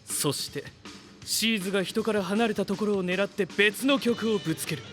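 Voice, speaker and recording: High-pitched, grandiose young male inventor. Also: moderate pace, fairly bright tone, faint music behind. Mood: proud, showy. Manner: grandiose, self-assured, declarative. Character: confident, theatrical young male scientist-guardian inventor. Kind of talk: anime-style voice drama acting.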